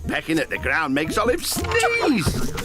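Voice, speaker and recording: A woman narrating a children's story in an expressive storytelling manner. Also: low-pitched, a little slow, loud music behind the voice.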